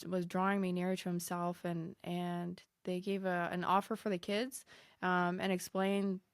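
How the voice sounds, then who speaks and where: A woman with a slightly mixed American accent telling her personal story as a podcast guest, pausing often mid-thought. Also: lowish voice, clear, resonant tone, no echo, studio quality. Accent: American, slightly mixed with New Zealand. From United States, grew up in Michigan. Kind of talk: casual personal testimony in conversation.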